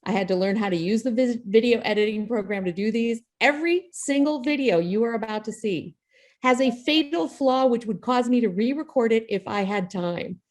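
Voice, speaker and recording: A woman's voice: fairly high pitch, normal speed, a little mellow. A woman hosting a table read over a video call, speaking informally with a jokey, self-deprecating manner. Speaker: playwright and host of an online table read. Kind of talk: informal spoken introduction to a show.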